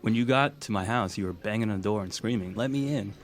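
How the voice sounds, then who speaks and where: An actor playing an uneasy man recounting a frightening memory in a plain, halting way, in a naturalistic audio drama. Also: fairly low pitch, close to an everyday voice. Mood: grave, uneasy.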